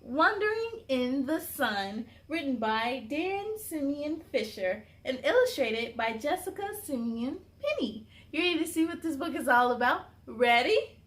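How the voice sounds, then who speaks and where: A woman's voice: high-pitched, big pitch swings, unhurried, hardly any pauses. A woman hosting a children's story time, reading aloud expressively with a sing-song delivery and chatty asides to young listeners.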